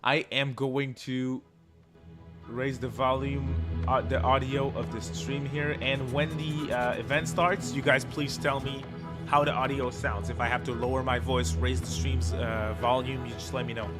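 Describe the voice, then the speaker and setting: A man hosts a casual livestream over clearly audible music. His voice sits at an average pitch, and he talks in a laid-back, slangy way, swearing lightly as he chats with viewers. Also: steady tone, a little slow. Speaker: livestream host. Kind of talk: casual livestream chat, reacting and reading viewer comments.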